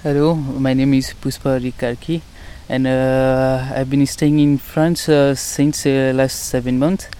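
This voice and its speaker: Halting man, mellow flat voice. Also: mid-range voice, clear, resonant tone. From Nepal. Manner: hesitant, halting English. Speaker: student, interview guest.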